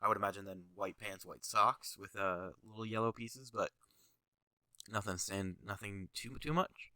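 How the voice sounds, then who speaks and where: A man's voice: fairly low pitch, steady tone, moderate pace, bright, forceful tone, dry recording. A young man with a stuffy nose, talking casually and rambling with lots of fillers as he reviews his own jersey designs. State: stuffy nose, sniffling, tired. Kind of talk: casual commentary over design images.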